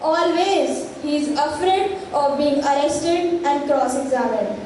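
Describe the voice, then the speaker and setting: A woman playing a kind, pleading young daughter in a school stage play, with slow, earnest delivery. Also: high-pitched, darkish tone. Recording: very echoey hall, faint background noise.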